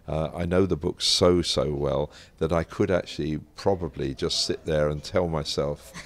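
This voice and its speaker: An older man with a British accent and a very low, gravelly voice. He talks as a guest in an interview, telling stories conversationally, often pausing to rephrase and wander into asides. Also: steady tone. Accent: British English. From Britain. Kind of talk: conversational interview, anecdotal storytelling.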